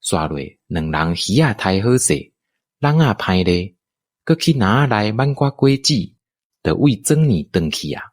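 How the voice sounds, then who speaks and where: Taiwanese male narrator for radio drama: low pitch, very lively intonation, expressive storytelling, dry studio sound. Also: measured pace, no room sound.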